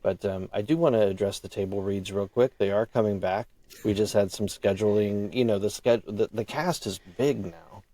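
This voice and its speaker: Man, low pitch, casual interview chat, earnest, slightly halting, audio drama creator as guest. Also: warm tone.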